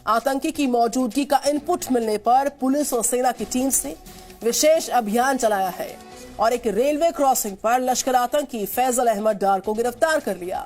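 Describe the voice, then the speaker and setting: An Indian woman anchors a television news bulletin. Her voice is on the high side and her delivery is formal and emphatic. Light news music plays behind her. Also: moderate pace, darkish tone. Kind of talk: Hindi television news presenting. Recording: studio voice over news music.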